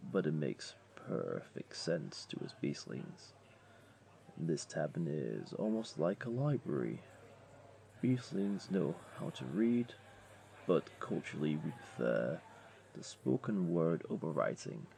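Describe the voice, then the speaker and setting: A man playing a flirty, comforting jaguar beastling in an intimate audio roleplay, gently coaxing the listener along. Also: sits low, creaky, exaggerated voice. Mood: suave, explaining. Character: flirty, comforting male jaguar beastling, patient restaurant owner.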